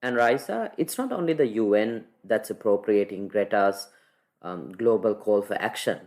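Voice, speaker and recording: Podcast host, mid pitch, wry, questioning, hesitant fillers, news roundup. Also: expressive, darkish tone. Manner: wry and questioning, with many "uh" and "um" pauses. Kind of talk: conversational news analysis.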